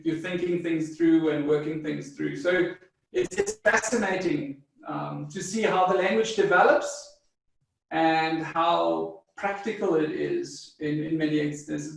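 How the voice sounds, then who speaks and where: A South African man whose first language is German, answering interview questions in a measured, thoughtful way with frequent fillers and restarts. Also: on the high side, creaky. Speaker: guest psychologist. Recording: distant mic in a very echoey room.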